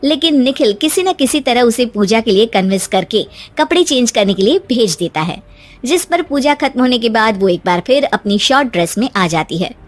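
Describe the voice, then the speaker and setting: A woman's voice: on the high side, animated, normal speed. A woman narrator reading a scripted moral story as a voice-over. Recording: voice-over with music. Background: music.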